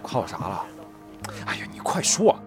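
An actor playing a brash, hot-headed male high-school student in a modern audio drama, slangy and blustering. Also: moderate pace, over music, natural voice. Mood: indignant teasing.

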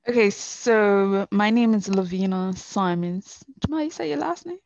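A woman's voice: mid-range voice, normal speed, a little mellow, no room sound, lo-fi sound. A woman in her late thirties chatting on a podcast, joking and hesitant at times. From Bermuda. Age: 30s. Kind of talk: casual podcast chat.

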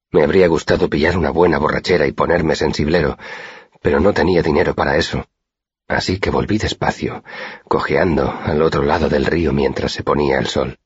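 A man's voice: extremely low pitch, husky, no room sound. A man reading a first-person literary story as an audiobook narrator, in a dull, muffled recording.

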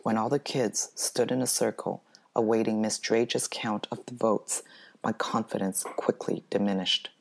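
A woman author reading her own spooky story as dramatic scripted narration, in a measured, deliberate manner. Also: a bass voice, fairly even intonation, creaky.

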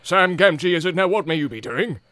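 A man's voice: high-pitched, animated, fairly quick, pushed and bright, voice pushed up. A man reading aloud voices a stern old wizard who speaks in a commanding way. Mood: probing. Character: stern old wizard, gruff but kindly.

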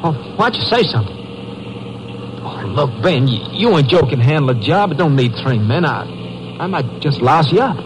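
An actor playing a nervous, weak-willed small-time crook who hesitates and stammers, with an American accent, in an old-time radio drama. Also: male voice, fairly high pitch, big pitch swings, moderate pace, noisy background. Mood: frightened, pleading. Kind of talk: 1940s-50s American radio drama acting.

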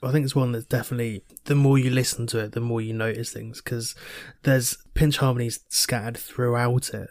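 A man's voice: medium pitch, flat delivery, a little fast, few pauses, darkish tone, dry recording. A man co-hosting a podcast, talking hesitantly with fillers about guitar and vocal details. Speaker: podcast co-host. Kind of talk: casual music review chat.

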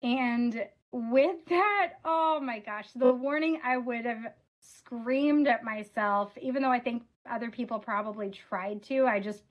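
Earnest woman with a slightly raspy voice. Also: fairly high pitch, a little slow, muffled recording. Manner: earnest, many fillers like "oh my gosh". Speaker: ministry leader guest. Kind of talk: casual interview answers.

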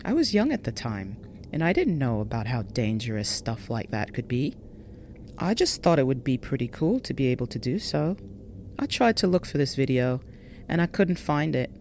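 A woman reads a scripted first-person scary story in a measured, dramatic way, drawing out some phrases. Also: extremely low pitch, big pitch swings, darkish tone, a little hiss or hum. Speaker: narrator reading a listener's scary true story.

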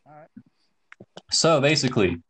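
A man's voice: medium pitch, sing-song, normal speed, a bit bright, slight background noise. A young man from Ohio hosting a casual podcast, easygoing and using slang. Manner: laid-back, casual slang. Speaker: podcast host. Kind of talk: casual chat.

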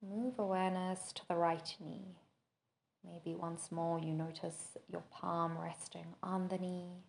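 A woman leading a guided body scan meditation, giving gentle, measured instructions with long pauses between phrases. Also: lowish voice, little pitch movement, vocal fry, slight background noise. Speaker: podcast host and meditation teacher.

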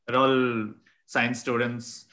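A man's voice: medium pitch, little pitch movement, slow pace, bright, forceful tone. Young man chatting casually. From Bangalore, India. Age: young adult. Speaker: student. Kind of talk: casual chat.

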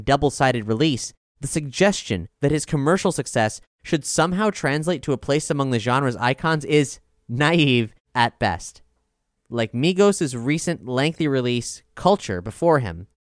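A man's voice: medium pitch, no room sound, clean studio sound. A man reading a scripted music review as narration. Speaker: reader of album reviews.

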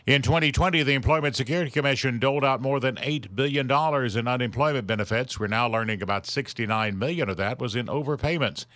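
A man's voice: medium pitch, quick pace, few pauses, a bit bright, no room sound. Dry, close American male newsreader. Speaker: news anchor. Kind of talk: radio news bulletin read.

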